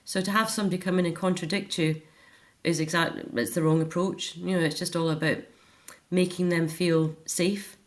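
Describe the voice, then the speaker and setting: A woman's voice: on the low side, steady tone, moderate pace. A middle-aged Scottish woman talking earnestly and reflectively as a guest in a personal interview. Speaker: interview guest, author and care home volunteer.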